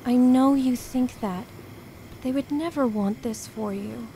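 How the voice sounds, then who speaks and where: An actress playing a kind, wry spirit woman, Death's emissary, in a radio play, gentle and understated. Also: on the high side, a little slow, a little hiss or hum. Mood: gentle, reassuring.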